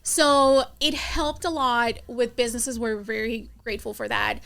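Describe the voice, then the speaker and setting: A woman's voice: a little high, measured pace, a bit bright. A woman from Colombia with a Colombian accent, a food blogger chatting as a guest and often laughing. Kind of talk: casual interview chat.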